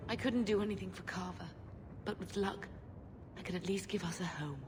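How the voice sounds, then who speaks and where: A woman's voice: mid-range voice, a little fast, lightly acted. An actress playing a wistful middle-aged mother in a fantasy video game, speaking with tender sorrow. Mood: grieving. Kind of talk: video game cutscene acting. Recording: music behind the voice.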